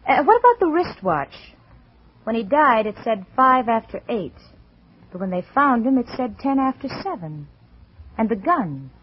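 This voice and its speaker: A woman's voice: on the high side, sing-song, normal speed, breathy edge. An actress playing a cool, scheming American wife in an old radio suspense drama, coy and sweetly menacing as she mocks and pressures. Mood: mocking, menacing. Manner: coy, silky, mocking. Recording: muffled vintage radio recording.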